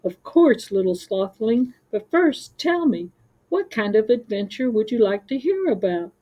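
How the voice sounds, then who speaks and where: A woman voicing a wise, relaxed father sloth in a storybook, with a gentle, unhurried murmur. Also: on the high side, muffled recording. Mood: gentle, encouraging.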